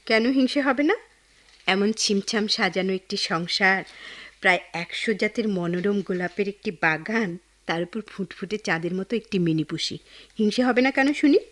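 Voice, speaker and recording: A woman's voice: mid-range voice, moderate pace, close to an everyday voice. An actress playing a spirited, idealistic young woman in a Bengali radio drama, speaking expressively with a Bangladeshi accent. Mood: playful, teasing. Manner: earnest, resolute, expressive.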